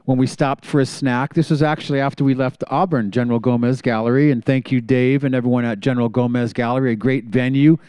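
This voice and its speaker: A man hosts a live community television show in front of a studio audience. His voice is smooth and resonant, with a relaxed, mellow tone. He sounds upbeat as he introduces segments. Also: mid-range voice, fast talker, few pauses. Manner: upbeat, announces crew and thanks sponsors. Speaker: show host.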